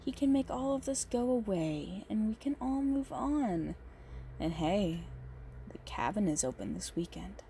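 Woman acting a slow-talking, possessive goth yandere girlfriend. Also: medium pitch, big pitch swings, gravelly, mellow, a little hiss or hum. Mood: coaxing, smug. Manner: slow, halting, doting, menacing under sweetness. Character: big muscular possessive goth girlfriend, sweet but unhinged yandere. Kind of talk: intimate ASMR roleplay monologue.

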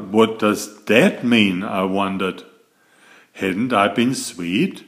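A man reading a children's picture book aloud in slow, expressive storybook narration. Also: mid-range voice.

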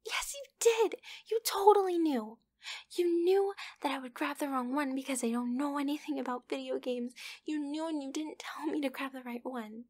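A woman voicing a bratty, clingy, possessive young girlfriend in an ASMR roleplay, pouty and demanding, with a breathy, often whispery delivery. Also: high-pitched, darkish tone, natural voice. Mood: angry, hurt. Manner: pouty, demanding, halting, with sighs. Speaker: voice actress in a roleplay.

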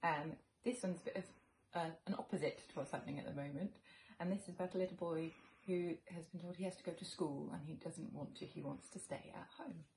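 A British woman in her thirties or forties, a teacher gently reading a children's storybook aloud at home. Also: low voice, fairly even intonation, a little fast, creaky, slight background noise, low-quality recording. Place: living room at home.

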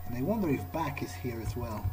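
A man's voice: medium pitch, big pitch swings, a little fast, over music. A man doing in-character game commentary, wryly thinking aloud as he plays. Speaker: gamer playing in character. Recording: clearly noisy, game ambience behind.

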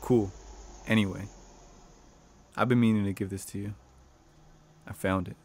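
A man's voice: fairly low pitch, steady tone, moderate pace, natural voice. A man playing an awkward, guarded teenage tough guy in a radio play. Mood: casual, shy.

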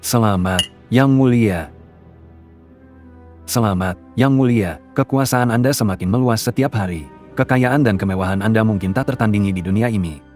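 A man voicing a cautious, fawning royal advisor who flatters and gently warns his king in a dubbed cartoon. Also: on the low side, normal speed, faint music behind, close to an everyday voice. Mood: deferential. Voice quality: deferential, flattering.

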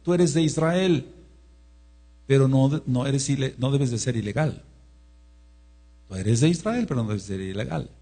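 A man preaching a sermon in an emphatic manner, rising to loud exclamations, with a husky voice. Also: mid-range voice, expressive, unhurried, pauses often. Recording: mains hum.

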